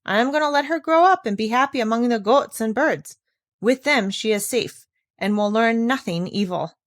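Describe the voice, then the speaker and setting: A woman's voice: a little high, moderate pace, voice pushed up, natural voice. A woman voicing a stubborn old mountain grandfather in a storybook, blunt and firmly determined. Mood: calmly defiant.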